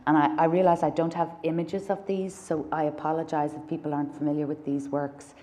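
An Irish woman in her thirties speaks at a gallery lectern in a very low, mellow voice. She talks thoughtfully, pausing on fillers and restarting her phrases. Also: little pitch movement. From Ireland. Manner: thoughtful, many fillers and restarts, gestures with hands. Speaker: artist. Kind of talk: public conversation on stage. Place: dark stage in a gallery lecture hall.

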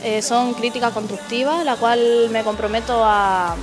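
A nineteen-year-old Chilean woman answering earnestly in an interview, pausing on fillers. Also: fairly high pitch, fairly even intonation. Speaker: pageant contestant. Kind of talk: casual interview. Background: music.

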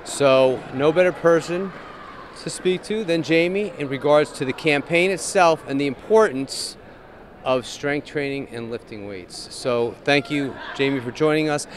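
A man's voice: fairly high pitch, a little slow, slight background noise. A man in his forties hosting an upbeat, casual interview at a fitness expo, speaking into a handheld mic with frequent restarts. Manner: upbeat, enthusiastic, with many restarts. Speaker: host. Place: large indoor expo hall, in front of a backdrop. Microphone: handheld microphone.

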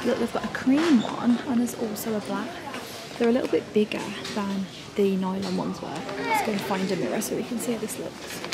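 A woman's voice: medium pitch, big pitch swings, brisk, loud music behind the voice. A young woman in her twenties, chatting and gushing about clothes as she films a shopping vlog on a handheld camera inside a large store. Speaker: fashion vlogger. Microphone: handheld camera mic. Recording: loud store background noise.

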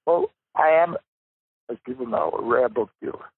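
Older man, very creaky voice, lively intonation, reflective interview talk, deliberate phrasing. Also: medium pitch. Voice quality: gravelly, husky. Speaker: interview guest, writer and rare book dealer. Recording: thin phone-like line.

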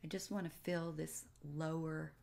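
A woman giving casual step-by-step narration for a software tutorial, pausing between short phrases. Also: sits low, flat delivery, vocal fry. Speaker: tutorial host.

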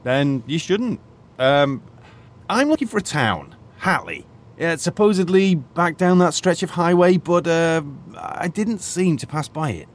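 An actor with a British accent playing a polite, sardonic retired archaeologist in an audio drama, hesitant and curious. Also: male voice, fairly high pitch, sing-song, a little slow. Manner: muttering musings, hesitant, polite. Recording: faint ambient noise behind.

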